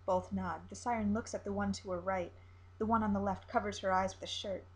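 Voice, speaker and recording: A woman reading a story aloud as narrator, in an expressive amateur storytelling style. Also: medium pitch, brisk.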